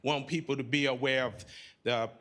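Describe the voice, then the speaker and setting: Bright-voiced man, halting and searching. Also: medium pitch, steady tone. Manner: halting, searching for words, many fillers. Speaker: audience member at a public forum. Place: conference hall.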